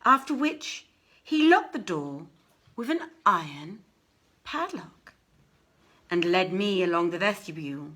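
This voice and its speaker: A woman narrating an archaic tale as an audiobook reader, in a theatrical, emphatic and deliberate storytelling manner. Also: mid-range voice, sharp tone.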